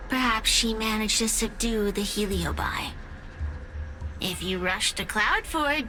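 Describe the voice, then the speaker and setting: A woman's voice: high-pitched, sharp tone, exaggerated voice. A woman voicing a taunting, sadistic female spirit in a video game, drawling theatrically and toying with listeners. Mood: sly teasing.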